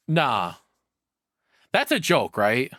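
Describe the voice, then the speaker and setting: A man giving casual, off-the-cuff reaction commentary as a streamer, blunt and sweary, halting as he thinks aloud. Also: medium pitch, bright, forceful tone, no echo, clean studio sound.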